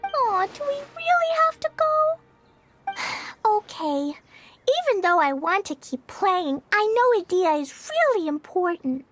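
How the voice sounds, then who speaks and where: Very high-pitched, cheerful little girl mage. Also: very animated, slow, fairly bright tone, soft background music, heavily acted. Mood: reluctant, tired. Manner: childlike, eager, simple phrasing. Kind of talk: video game cutscene acting.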